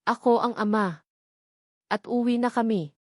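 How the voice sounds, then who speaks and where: A calm Filipino town mayor voiced by a woman narrator, firm and authoritative. He makes composed declarations in an audio romance, in a dry, close recording. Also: on the high side, measured pace, no room sound.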